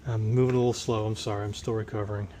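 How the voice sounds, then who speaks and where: A man with a hoarse voice, recovering from tonsillitis, casually talking through a transmission teardown as he works. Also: fairly low pitch, level tone, rapid-fire, very noisy. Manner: plain-spoken, working while talking. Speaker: transmission rebuilder. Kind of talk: casual shop walkthrough.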